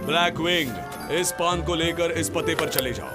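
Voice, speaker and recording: A blustering cartoon pirate captain, acted by a man, pitched high for a male voice. He is bossy and scolding, barking out orders over loud music. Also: moderate pace, close to an everyday voice. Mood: decisive. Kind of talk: animated cartoon dub.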